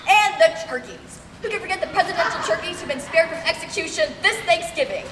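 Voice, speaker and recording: Young actress as earnest female news correspondent, comic radio play; very high, deliberate, dramatic, distant mic in a hall. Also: expressive. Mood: earnest, improvising. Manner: dramatic, over-deliberate announcing. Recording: echoey hall, distant mic, faint noise.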